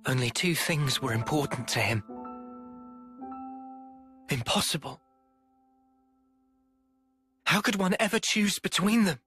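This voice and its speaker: A solemn, burdened fantasy character in a game cutscene. His voice is fairly high and forceful, paced slowly and gravely, with music behind it. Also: very animated, heavily acted. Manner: measured, solemn delivery. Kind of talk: dramatic video game cutscene acting.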